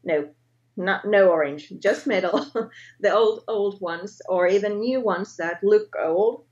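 A woman in her thirties speaking English with a Finnish accent, halting and often restarting her sentences as she searches for words. She talks from a home studio over a webcam, and the sound is dull and lo-fi with a roomy echo. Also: mid-range voice, darkish tone. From Finland. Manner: hesitant, searches for English words, frequent self-corrections. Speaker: guest artist. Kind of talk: casual interview chat. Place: home art studio indoors. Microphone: webcam on video call.

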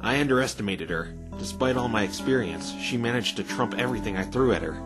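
A man's voice: mid-range voice, a little fast, close to an everyday voice. A man playing an earnest, plain-spoken, wry male defense lawyer in conversational game-style dialogue. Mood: earnest, explaining. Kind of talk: fan dub of visual novel game dialogue. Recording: background music under the voice.

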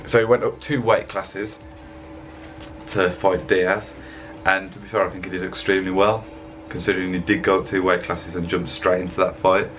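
A man's voice: low voice, little pitch movement, moderate pace, a bit bright, faint music behind. A young man talking casually in a rambling, laid-back way as a fitness vlogger, speaking to a webcam at his desk. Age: young adult. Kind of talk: casual voice-over vlog. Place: indoor room, voice-over over gym footage.

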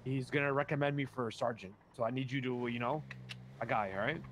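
A man's voice: mid-range voice, steady tone, quick pace, a little hiss or hum, natural voice. A man playing a cocky, casual police officer in improvised online game roleplay, talking in blunt slang. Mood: conspiratorial.

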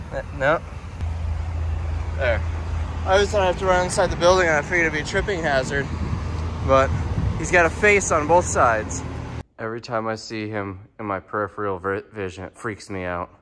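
A man's voice: fairly high pitch, noisy background. A young man from the United States, chatting casually about his warehouse sale while filming himself with a phone held at arm's length. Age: young adult. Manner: rambling, matter-of-fact, filming himself while working. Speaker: vlogger running a warehouse sale. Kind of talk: casual vlog chat. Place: warehouse indoors and outdoors by the road.

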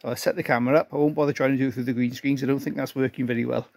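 A man doing an unhurried, self-deprecating welding demonstration as an amateur car restorer in a cluttered garage workshop, explaining each step. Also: medium pitch, fairly even intonation, vocal fry, darkish tone, no echo.

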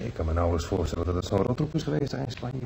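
An actor playing a good-natured, teasing hospital patient with a casual, chatty manner in a radio play. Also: male voice, low voice, very animated, racing, creaky, mellow, slight background noise, heavily acted. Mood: curious. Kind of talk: Dutch radio play acting.

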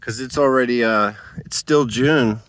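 A man's voice: medium pitch, unhurried, a bit bright, no room sound. A man giving a casual tour of his dry desert garden, rambling and thinking aloud while filming on his phone outdoors. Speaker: home gardener.

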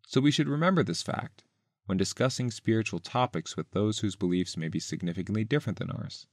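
A man reading a written essay aloud in a measured, carefully enunciated way. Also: fairly low pitch, dry recording, clean studio sound. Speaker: article author and reader.

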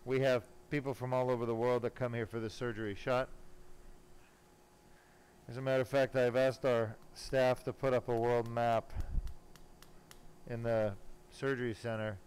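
A man working as a spine surgeon, giving casual, self-assured live commentary while he operates, pausing now and then mid-task. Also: medium pitch, little pitch movement, darkish tone. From born in Germany, later lived in California. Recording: clearly noisy operating room sound. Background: room noise and ambience.

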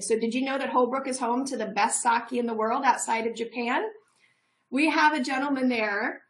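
A woman's voice: high voice, fairly bright tone, low-quality recording. A middle-aged woman from a tourism office, a guest on a TV show, talking upbeat and promotional over a video call from a room at home.

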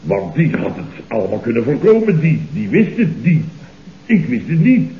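An actor playing a panicky, self-important boss in a Dutch radio comedy, stammering and repeating words emphatically, with a Netherlands accent. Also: male voice, medium pitch, very animated, normal speed, natural voice. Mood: indignant. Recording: dull, noisy, roomy old radio recording.

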